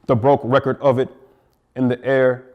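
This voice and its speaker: An American man from Flint, Michigan, a poet reading his work aloud in a deliberate, incantatory manner. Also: mid-range voice, level tone, phone-quality sound. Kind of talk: poetry reading.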